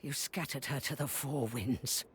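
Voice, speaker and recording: A woman's voice: very deep voice, measured pace, slightly rough, heavily acted. A woman playing a bitter, sardonic, foul-mouthed old granny who scolds bluntly, as acted in a video game cutscene. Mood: bitter, commanding. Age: older.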